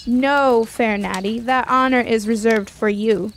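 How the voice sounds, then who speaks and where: An actress playing a proud, sharp-tongued young warrior maiden in a fantasy radio drama, speaking in formal, defiant phrases. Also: female voice, a little high, fairly even intonation, unhurried, a little hiss or hum. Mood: proud, mocking. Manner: formal, archaic, declamatory phrasing.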